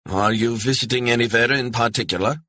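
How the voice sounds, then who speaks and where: A man's voice: mid-range voice, a little fast, pushed and bright, no echo, voice pushed up, close to an everyday voice. A man voicing a friendly older retiree man in his sixties with an effusive, chatty manner. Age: 60s.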